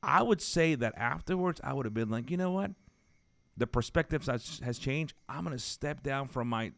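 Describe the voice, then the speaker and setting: A man's voice: mid-range voice, fairly bright tone, dry recording. A man hosting a conversational interview, emphatic and repeating phrases as he builds a point. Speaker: podcast host.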